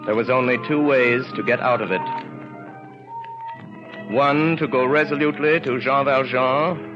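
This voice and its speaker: A man narrating a literary radio drama of the 1930s in a grave, theatrical manner with measured phrasing. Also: medium pitch, music in the background. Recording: muffled 1930s radio recording.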